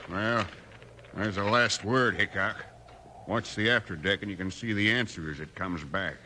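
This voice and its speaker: An actor playing a sardonic, grudging river outlaw with an American accent in a 1950s radio western. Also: male voice, on the low side, normal speed, sharp tone. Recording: noisy vintage 1950s radio recording.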